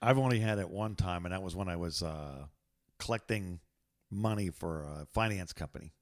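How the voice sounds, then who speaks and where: A man in his sixties co-hosting a podcast, reminiscing casually in a halting, self-deprecating way. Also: low-pitched. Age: 60s. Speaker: podcast co-host. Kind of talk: casual chat, reacting and reminiscing.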